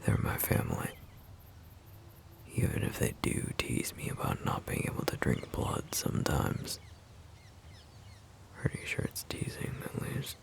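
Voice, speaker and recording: A man playing a sweet, bashful wolf-shifter boyfriend in an intimate ASMR roleplay, speaking softly and haltingly in a breathy murmur. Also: close to an everyday voice. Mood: subdued, wry. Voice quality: very deep, breathy, gravelly murmur. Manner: hesitant, halting, soft-spoken. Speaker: audio roleplay performer.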